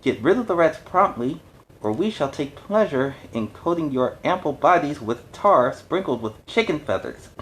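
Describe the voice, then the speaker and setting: A man's voice: on the high side, big pitch swings, normal speed, a bit bright, slight background noise, voice pushed up, low-quality recording. A man voicing an indignant storybook fishmonger's wife, declaiming threats as leader of angry townsfolk. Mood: angry threatening. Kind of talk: storybook character voice.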